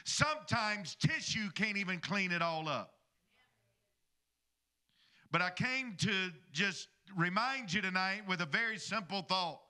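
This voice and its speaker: A middle-aged pastor preaches from a church stage, his voice pitched high and ringing with a very bright, edgy tone. He holds a handheld microphone and keeps drawing amens from the congregation. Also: lively intonation, measured pace. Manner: preaching with call-and-response asides to the congregation. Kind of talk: sermon.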